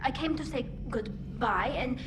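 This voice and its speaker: High-voiced shy alien girlfriend. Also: lively intonation, unhurried, noisy background. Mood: flustered. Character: young alien woman in helmet, shy, playful, affectionate. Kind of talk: video game voice acting.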